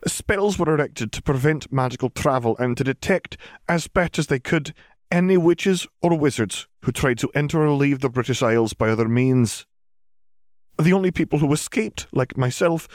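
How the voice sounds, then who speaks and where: A stern older witch professor, played by a man in an audiobook story. The voice is lifted high and sounds close and dry. She speaks formally and gravely, in measured explanations. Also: a little mellow, dry recording, lightly acted.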